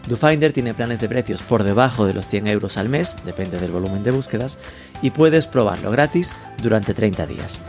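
A man hosting an interview podcast with a steady, scripted delivery. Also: fairly low pitch, moderate pace, faint music behind. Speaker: podcast host.

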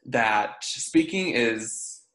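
A man's voice: mid-range voice, expressive, very slow, fairly bright tone. A man in his twenties co-hosting a podcast, talking casually with a stutter, repeating sounds and getting stuck on blocks. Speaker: podcast co-host. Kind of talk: casual chat. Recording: roomy echo.

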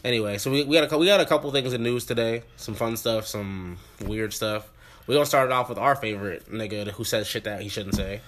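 Fast-talking congested male podcast host. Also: on the low side, a bit bright, a little hiss or hum. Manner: fast, joking, profane banter. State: congested and sniffly. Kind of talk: casual podcast chat.

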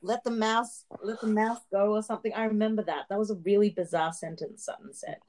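A woman's voice: medium pitch, fairly even intonation, brisk, darkish tone. A woman co-hosting a podcast, chatting about reality TV in long explanatory turns and laughing often. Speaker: podcast co-host. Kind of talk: casual chat recapping reality TV.

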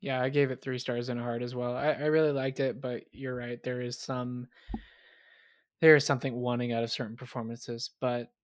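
A man co-hosting a casual film podcast, relaxed and enthusiastic, with frequent ums. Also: mid-range voice, moderate pace, no echo, studio quality. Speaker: podcast co-host.

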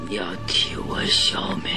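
An actor playing a gravely sick detective in a radio drama, breathy and groaning, pleading in halting, labored words. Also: male voice, music in the background, lightly acted. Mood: weak, laboring. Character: gravely sick male detective, weakly pleading with his enemy. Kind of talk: Mandarin radio drama acting.

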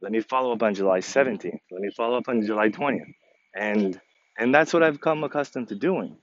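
A man running his company, speaking informally to his staff in a podcast, with a slightly crackly voice and a halting, earnest delivery full of fillers and restarts. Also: on the low side, dull audio. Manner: earnest, repeats himself to stress points. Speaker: company president addressing staff. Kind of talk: informal podcast address to employees.

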